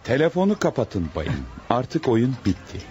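A man's voice: mid-range voice, sing-song, moderate pace, warm tone, lo-fi sound, faint music behind, close to an everyday voice. A man acting a firm, confident homicide detective in a radio play, stern and assured. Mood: stern, triumphant.

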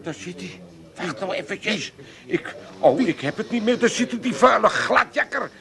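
An indignant older father with an Amsterdam accent, forever stammering and blustering in a radio comedy. His voice sits high and swings wildly up and down. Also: animated, normal speed, gravelly, fairly bright tone, heavily acted. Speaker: actor in radio drama. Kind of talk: 1980s radio comedy acting.

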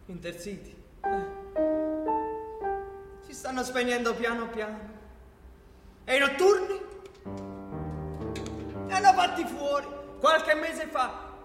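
A man's voice: very high voice, slow pace, long pauses. A man narrating a polemical radio play in an emphatic, declamatory, theatrical style. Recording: echoey hall with music.

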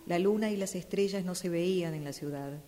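A woman's voice: fairly low pitch, fairly quick, mellow. A woman reading a scripted story as a radio drama narration exercise. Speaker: radio drama workshop participant. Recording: clear background noise.

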